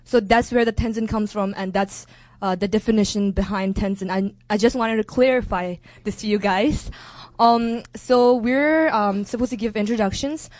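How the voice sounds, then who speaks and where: A woman's voice: medium pitch, moderate pace, darkish tone, no echo. Hesitant young woman with a dry sound. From India. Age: young adult. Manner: hesitant, many fillers and restarts. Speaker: student introducing herself. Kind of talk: casual public introduction.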